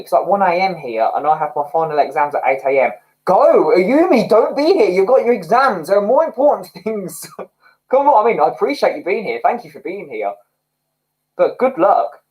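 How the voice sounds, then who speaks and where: A man's voice: high voice, a little mellow, rough recording. A man hosting a casual livestream from home, reading viewer comments, chatty and self-mocking and often laughing. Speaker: livestream host. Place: home, indoors.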